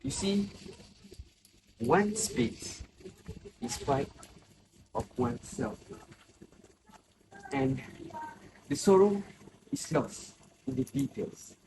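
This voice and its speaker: A man acting an anxious, hesitant old man in a student stage play, speaking in halting, deliberate phrases. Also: a little high, sing-song, heavily acted. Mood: fearful, brooding. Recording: noisy amateur recording with ambient sound.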